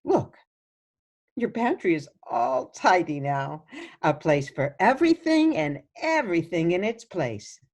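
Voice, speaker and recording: A sweet elderly grandmother played by a woman in a radio play. She speaks slowly with a very lively, melodic range. Her manner is gushing, soothing and indulgent toward her family. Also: fairly low pitch, heavily acted. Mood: cheerful, soothing.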